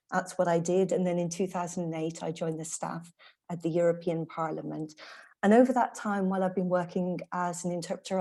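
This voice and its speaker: A middle-aged woman speaks on a panel about her work as an interpreter, explaining at a measured pace with frequent fillers. Her voice sits on the low side and sounds mellow rather than bright. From Ireland. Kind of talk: panel talk.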